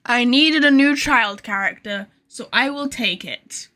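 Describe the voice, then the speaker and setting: A woman livestreaming a video game, chatting casually to viewers in an excitable, rambling manner. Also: a little high, a little slow. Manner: excitable, rambling, swears freely. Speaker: game streamer. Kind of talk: casual live gaming commentary, chatting to viewers.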